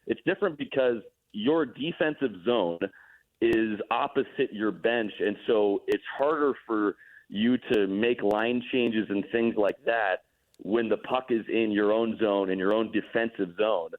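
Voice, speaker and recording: Guy on a phone line calling into sports radio, lowish voice, breaks down hockey in a laid-back way. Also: measured pace. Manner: relaxed, explanatory, self-deprecating. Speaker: hockey play-by-play broadcaster, guest. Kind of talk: casual radio interview analysis.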